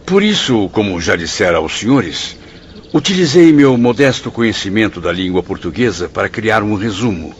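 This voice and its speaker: An actor playing a melancholy, courteous writer spirit in an audio drama, speaking in a formal, earnest, literary way. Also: male voice, lowish voice, lively intonation, moderate pace, slight background noise, close to an everyday voice. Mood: earnest, humble. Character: Camilo, melancholy, courteous convalescent writer spirit, male narrator. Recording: dull audio with music behind.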